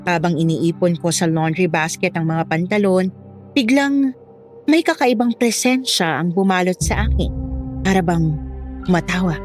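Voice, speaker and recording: A woman's voice: on the low side, normal speed. A young woman giving scripted first-person narration of a horror story. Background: music.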